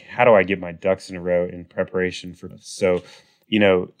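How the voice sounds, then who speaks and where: A man hosting a podcast in a relaxed chat, his voice raspy and nasal from a cold. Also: low-pitched. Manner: relaxed, conversational, with fillers. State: has a cold, raspy voice and clogged nose. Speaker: podcast host.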